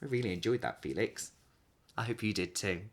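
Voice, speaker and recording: A man in his thirties reads a children's story aloud expressively, with dramatic pauses and emphasis. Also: fairly low pitch. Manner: animated, dramatic pauses and emphasis for young listeners.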